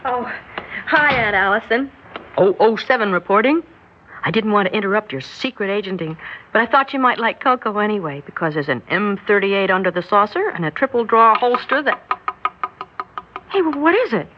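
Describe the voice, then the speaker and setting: A woman's voice: medium pitch, lively intonation, moderate pace, lightly acted. An actress in a 1960s radio drama playing an affectionate, playful young niece with a chatty, girlish manner. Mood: anxious, coaxing. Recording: muffled, hissy 1960s radio recording.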